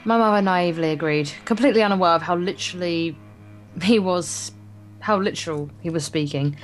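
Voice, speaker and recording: A woman's voice: lowish voice, a little fast. A woman reading visual novel narration aloud as a let's play co-host, stumbling and correcting herself as she goes. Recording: guitar music behind. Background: game's guitar music.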